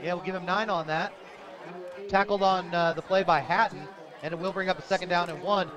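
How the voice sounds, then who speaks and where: High-pitched American man calling football over crowd. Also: a little fast. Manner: energetic, clipped present-tense calls. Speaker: radio play-by-play announcer for high school football. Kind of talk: live American football play-by-play commentary. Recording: loud crowd behind outdoor broadcast. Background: stadium crowd.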